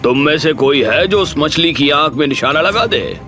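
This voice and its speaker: A man playing a stern, authoritative army general who speaks gravely and gives orders in an audio drama. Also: a little high, animated, moderate pace, pushed and bright, faint music behind. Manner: commanding, grave, clipped orders. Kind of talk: Hindi audio story dramatization.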